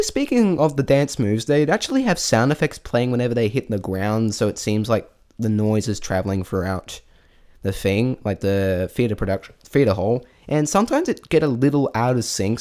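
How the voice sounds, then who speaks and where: A man's voice: medium pitch, warm tone. A man giving a casual, unscripted spoken review, rambling and thinking aloud in a self-deprecating way. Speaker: amateur vlog reviewer.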